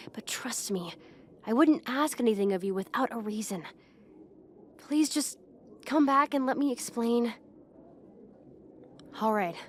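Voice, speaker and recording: A woman playing a bossy, easily flustered teenage popular bully in an audio roleplay, exasperated and pushy, halting when embarrassed. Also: a little high, slight background noise. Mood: embarrassed, confiding. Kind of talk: acted ASMR roleplay monologue to the listener.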